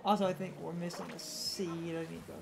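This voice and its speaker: A woman's voice: on the low side, little pitch movement, brisk, vocal fry. A woman doing casual gaming commentary, explaining things in a rambling, argumentative way over game music. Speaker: let's play co-commentator. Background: video game music.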